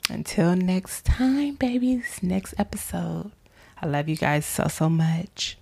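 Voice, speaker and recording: A woman podcast host who is losing her voice, giving gentle faith-based encouragement in a hoarse, soft-spoken way that often drops into whispers. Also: fairly low pitch, lively intonation, slow. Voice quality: hoarse, gravelly.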